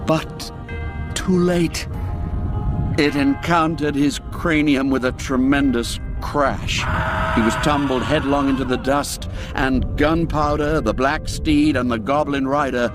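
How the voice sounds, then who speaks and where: Guy reading a suspenseful old tale real slow and deliberate, music playing under him. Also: medium pitch, fairly even intonation. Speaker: audiobook narrator. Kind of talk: dramatic scripted storytelling narration.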